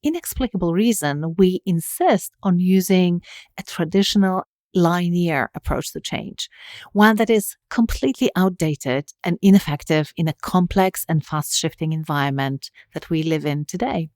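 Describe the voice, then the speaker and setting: A woman's voice: lowish voice, normal speed, a little mellow, no echo, studio quality. A woman hosting a podcast, reading a scripted introduction and then asking interview questions in an articulate, enthusiastic way. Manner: enthusiastic, articulate, laughs easily. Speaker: podcast host.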